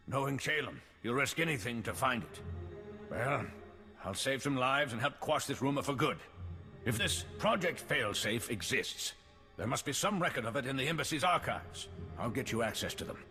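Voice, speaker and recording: An actor playing an anxious civilian official in a video game, earnest and placating in manner. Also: male voice, medium pitch, lively intonation, moderate pace, sharp tone, long reverb tail, soft background music. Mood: earnest, resigned. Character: anxious male civilian official, pleading and pragmatic appeaser. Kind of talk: scripted game acting.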